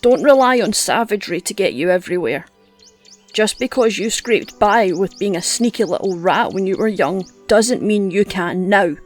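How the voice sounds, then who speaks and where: An actress playing a gruff, scolding old woman who teaches hunting with blunt scorn in an audio drama. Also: mid-range voice, lively intonation, moderate pace, close to an everyday voice. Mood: blunt, scornful. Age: older. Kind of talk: audio roleplay drama acting. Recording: music under the voice.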